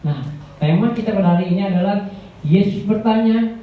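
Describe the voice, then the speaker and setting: A man's voice: brisk, distant mic, narrow, tinny audio. Man preaching in an echoey church hall. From Indonesia. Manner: rambling, addresses the congregation as "saudara"; grows louder and higher when preaching. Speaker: guest preacher. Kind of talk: Pentecostal sermon.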